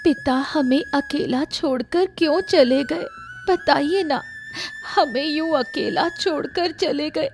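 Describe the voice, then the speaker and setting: Woman playing a high-pitched, tearful orphaned daughter. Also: takes it slowly, soft background music. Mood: grieving. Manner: plaintive, pleading. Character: helpless, grieving orphaned daughter. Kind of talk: Hindi cartoon story voice acting.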